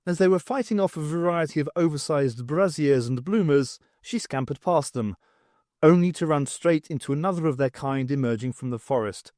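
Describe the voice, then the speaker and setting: A man reading a story aloud as an audiobook narrator, in an expressive scripted style. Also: on the high side, normal speed, dry recording, studio quality.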